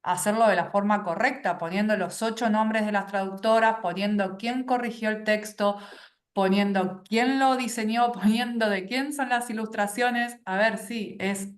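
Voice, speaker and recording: A woman's voice: medium pitch, steady tone, normal speed. A woman publisher explaining in a matter-of-fact way during a conversational interview, listing steps one by one. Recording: roomy echo.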